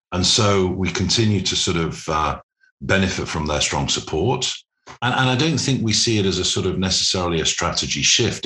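Very deep-voiced man answering business questions. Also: sharp tone. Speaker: interview guest, private equity executive. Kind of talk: business interview, explanatory answers.

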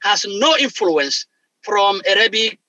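A man with a Somali accent, a language instructor, explaining in halting English with many fillers and restarts. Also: sits high, expressive, sharp tone, no room sound, dull audio. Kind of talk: explanatory conversation.

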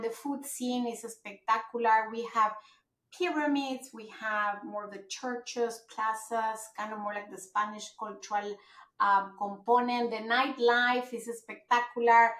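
A woman from Mexico, a podcast guest, talking in an animated way with many fillers and restarts. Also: a little high, a little slow. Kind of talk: conversational podcast interview. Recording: roomy echo.

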